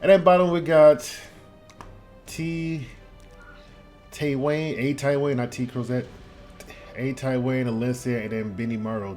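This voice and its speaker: A male game streamer with a medium-pitched, very animated voice keeps up casual, wry commentary. His delivery is informal, full of muttered asides. Game music plays behind him. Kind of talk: casual live gameplay commentary. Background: game music and character voice lines.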